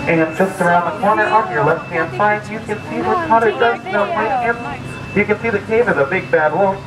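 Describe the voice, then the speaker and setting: A man working as a boat ride guide, narrating a scripted storytelling tour with theatrical, drawn-out emphasis on key words. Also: sits high, a loud crowd. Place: outdoors aboard a tour boat. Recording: distant amateur recording with loud background music. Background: music and ride ambience.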